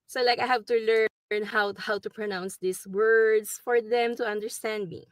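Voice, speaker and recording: A woman's voice: on the high side, fairly even intonation, moderate pace, no echo. Woman in her 40s, Filipino accent, hesitant recorded video message, dry sound. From Philippines. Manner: hesitant, many ums and likes. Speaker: travel nurse.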